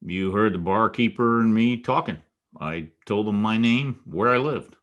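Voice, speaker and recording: An actor playing a wisecracking, world-weary private detective in a noir radio drama, wry and sardonic. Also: male voice, on the low side, unhurried, fairly bright tone, highs cut off. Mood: tough, defiant. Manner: wry, sardonic, clipped tough talk. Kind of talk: old-time radio noir acting and first-person narration.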